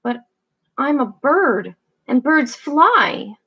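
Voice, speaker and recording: A woman's voice: high-pitched, big pitch swings, very slow, husky, dull audio, exaggerated voice. A woman voicing a timid young female penguin who talks in a hesitant, wistful way.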